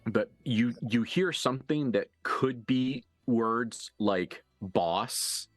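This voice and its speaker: A man improvising narration as a game master for a horror tabletop game, conversational and halting, with graphic detail. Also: medium pitch, dry recording, faint music behind.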